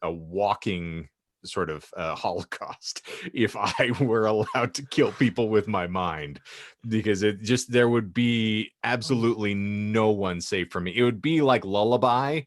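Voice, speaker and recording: A man's voice: on the low side, unhurried, no room sound, clean studio sound. A man co-hosting a casual comedy podcast, talking in wordy, mock-grandiose phrases. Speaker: podcast co-host.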